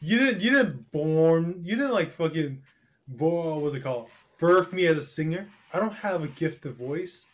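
A man's voice: a little high, lively intonation, unhurried. A young man chatting casually on a podcast, slangy and swearing often, calling people dude. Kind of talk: casual chat.

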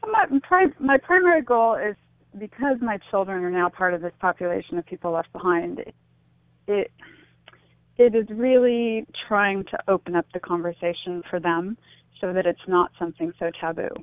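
A middle-aged American woman speaking as a radio interview guest, reflective and halting as she restarts her thoughts. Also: medium pitch, no room sound. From United States. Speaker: interview guest, suicide-loss advocate. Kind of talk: earnest radio interview conversation. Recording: muffled phone-like radio line.

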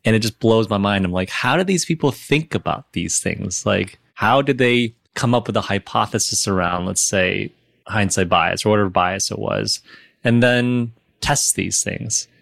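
Gravelly-voiced male podcast host. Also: on the low side, normal speed, a bit bright, no echo, studio quality. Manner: enthusiastic, friendly questions. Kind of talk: podcast interview.

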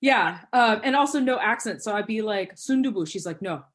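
Chatty woman telling animated stories. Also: medium pitch, brisk. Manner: chatty, laughs often, acts out quotes. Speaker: podcast guest, teacher. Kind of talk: casual podcast chat.

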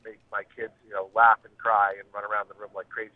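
A man's voice: lowish voice, level tone, quick pace, no echo. A man leaving a casual phone message for a podcast, joking dryly with some mild swearing. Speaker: podcast listener calling in. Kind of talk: casual complimentary voicemail. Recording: narrow, tinny telephone line.

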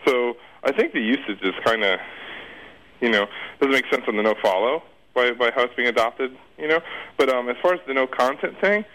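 A man's voice: mid-range voice, fairly quick, a bit bright, rough recording. A man speaking as an expert interview guest, explaining things informally in a conversational, circling way. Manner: informal, circling explanations with repeated "you know".

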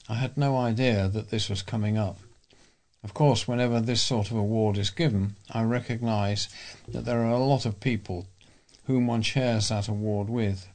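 A man reading a local news bulletin aloud as a volunteer newsreader. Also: lowish voice.

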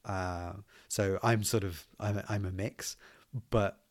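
A man's voice: low voice, fairly even intonation, takes it slowly. A man with a light accent mixing Scottish and southern English, chatting as a podcast guest and often restarting his sentences with fillers. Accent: mixed Scottish and southern English. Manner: hesitant, many restarts and fillers. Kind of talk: casual podcast conversation.

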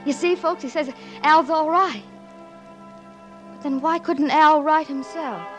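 A wistful young woman over a letter; high, slow, music underneath. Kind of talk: 1940s radio acting.

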